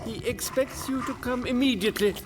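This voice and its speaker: A man playing an officious demon underling in a radio drama, speaking in a halting, fussy, stiffly formal manner. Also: very high voice. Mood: nervous, formal.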